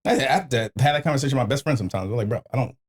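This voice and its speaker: A man's voice: mid-range voice, animated, very fast, slight background noise. A man in a casual podcast chat, blunt and slangy, swearing freely and acting out the conversations he quotes. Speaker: podcast host.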